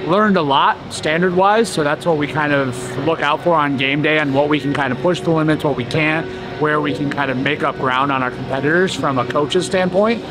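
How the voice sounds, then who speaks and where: A man in his thirties, a coach, explaining plans in a steady casual way with some fillers. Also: on the high side, a little fast, music in the background. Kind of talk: casual behind-the-scenes update. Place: large indoor competition venue. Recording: noisy arena background.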